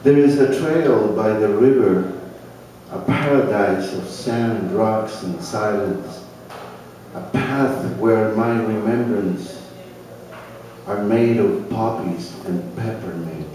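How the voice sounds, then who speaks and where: A man's voice: lowish voice, dark tone, a little hiss or hum. An older man from Chile, a native Spanish speaker with a Chilean accent, hesitantly introducing himself and then reading his poetry at an open mic. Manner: hesitant, halting with many fillers. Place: cafe open mic, roomy. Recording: echoey cafe from far off, with crowd.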